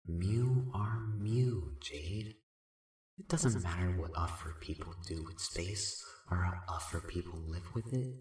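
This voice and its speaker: Guy doing a cool cat sprite buddy, low slow voice, playful and tender, roomy echo, fan audio drama. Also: a little mellow, distant mic. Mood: playful reassurance. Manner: slow, drawn-out cat puns. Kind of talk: amateur podcast audio drama acting. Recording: roomy echo, away from the mic.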